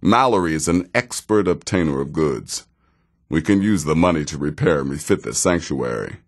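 Very deep, sardonic veteran assassin. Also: male voice, lively intonation, full character voice. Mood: businesslike, wry. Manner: dry, sarcastic, deliberate delivery. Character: sardonic, world-weary veteran male assassin of a dark guild. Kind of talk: scripted fantasy video game dialogue.

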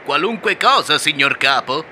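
A man voicing a meek male rabbit animatronic helper with squeaky exclamations, with music playing behind. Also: animated, moderate pace, pushed and bright, slight background noise.

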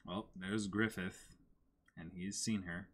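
A man's voice: sits low, fairly even intonation, takes it slowly, fairly bright tone, slightly rough. A man in his twenties co-hosting a live read-along, commenting and reacting casually as he goes. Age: 20s. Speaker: co-host reading a manga for a live stream audience. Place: indoor room against a backdrop.

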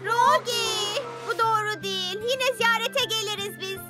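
An actor voicing a polite, kindhearted child bus in a dubbed children's cartoon, sounding earnest and expressive. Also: very high-pitched, measured pace. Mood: warm. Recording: loud children's music behind.

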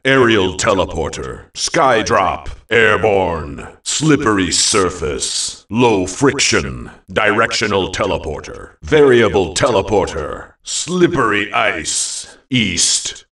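A male actor playing a theatrical fantasy video game announcer, calling out short phrases with deliberate emphasis. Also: low voice, a bit bright, recorded from a distance, full character voice. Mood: announcing, dramatic. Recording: echoey, set back from the mic.

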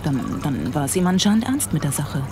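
A woman's voice: sits low, big pitch swings, a little fast, noisy background. A woman playing a composed, thoughtful police detective, talking conversationally in a German crime audio drama. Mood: businesslike. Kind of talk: German radio drama dialogue. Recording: echoey hall, music behind.

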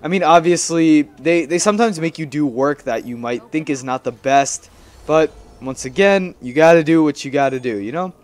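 A man's voice: a little high, normal speed. A young man giving casual, rambling gameplay commentary, chatty and playfully repeating his phrases. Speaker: gaming video host.

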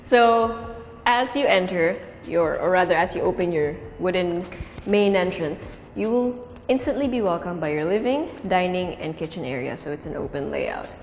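A woman's voice: fairly low pitch, animated, normal speed, slight background noise. A young woman with a Filipino accent hosting a casual walkthrough tour of an empty house, chatty and switching languages mid-sentence. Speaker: real estate tour host. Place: indoors and outdoors in an empty house.

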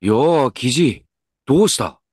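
An actor playing an earnest, dignified company president in a comedic radio drama, with pompous, measured executive speech. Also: male voice, mid-range voice, sing-song, a little mellow, husky, no echo, clean studio sound. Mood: genial.

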